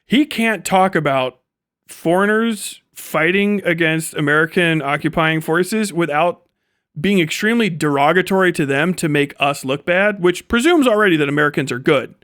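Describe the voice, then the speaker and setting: Profane man ranting, higher-pitched voice. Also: expressive, normal speed, a bit bright, clean tone, no room sound, clean studio sound. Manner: profane, slangy, sarcastic, laughs often while talking. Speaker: livestreamer, host. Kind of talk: casual livestream rant and commentary.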